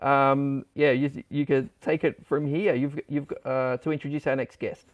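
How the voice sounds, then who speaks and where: A man hosting an online church panel over a video call, talking in a halting way with many restarts. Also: mid-range voice, little pitch movement, dry recording, lo-fi sound. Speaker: livestream host. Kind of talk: casual panel chat.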